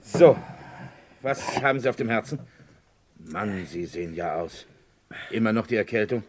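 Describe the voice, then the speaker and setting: A man's voice: fairly low pitch, lively intonation, moderate pace, background noise, lightly acted. A man playing a formal, self-possessed and condescending small-town lawyer in a radio crime drama. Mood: busy, cordial.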